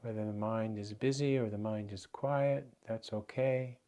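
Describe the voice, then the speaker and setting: A man guiding a meditation as a teacher, giving gentle, unhurried instructions with pauses. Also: on the low side, fairly even intonation. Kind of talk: guided meditation.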